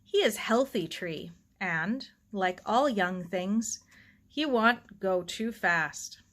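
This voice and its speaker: Woman playing a slow-speaking, devout old gardener man. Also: mid-range voice, fairly bright tone. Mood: calm, instructive. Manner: slow, broken English with dropped articles. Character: elderly Japanese gardener man, calm and devout.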